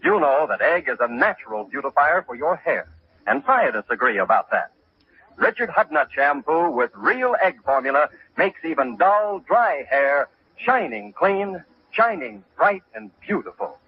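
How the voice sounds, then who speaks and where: An American man working as a radio announcer and pitchman in a polished 1950s announcing style. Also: very animated, unhurried. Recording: noisy, dull old radio broadcast.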